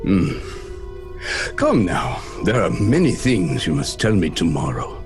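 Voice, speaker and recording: An actor playing an ancient aristocratic vampire count in a horror audio drama, formal and ominously polite. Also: male voice, low-pitched, sing-song, unhurried. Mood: ominously courteous. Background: music.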